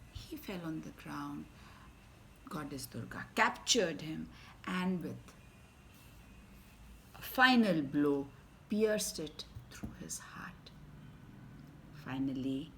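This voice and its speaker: A woman's voice: low voice, a little hiss or hum. Indian woman telling a dramatic kids' story. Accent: Indian English. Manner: dramatic, swings between loud emphasis and soft whispers. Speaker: storyteller for children. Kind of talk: expressive bedtime story reading.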